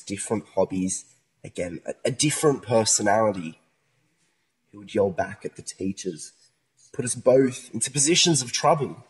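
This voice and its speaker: A man's voice: on the low side, slightly rough, rough recording. A man acting in a modern radio play as a distressed, earnest young man pleading his innocence over a split personality.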